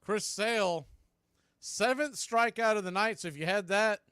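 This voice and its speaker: A man's voice: sits high, normal speed, pushed and bright, smooth, no echo, clean studio sound. A man doing live radio baseball play-by-play, with punchy clipped calls and conversational asides.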